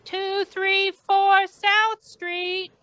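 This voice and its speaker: A woman playing a detached dispatcher in a radio drama, announcing in a flat, repetitive way. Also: very high-pitched, extremely slow, slight background noise, heavily acted. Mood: detached, monotone. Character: detached female dispatcher calling out an address. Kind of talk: old-time radio drama acting.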